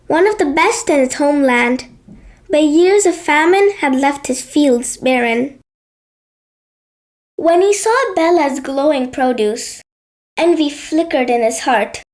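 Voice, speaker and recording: A woman narrating a scripted bedtime story for children as a storyteller. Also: high voice.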